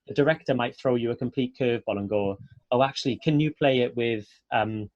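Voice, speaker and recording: A man's voice: medium pitch, a little fast, darkish tone, no room sound, dull audio. A man from south Wales with a Welsh accent, an actor speaking in a chatty, earnest, encouraging way as he gives informal advice to students. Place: close dry online webinar call.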